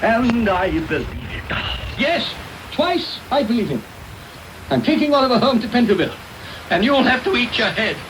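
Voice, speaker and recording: An actor playing a kindly, authoritative gentleman, insistent and decisive, in a radio drama. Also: very high-pitched, very animated, normal speed. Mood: emphatic, resolute. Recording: noisy off-air medium wave radio tape.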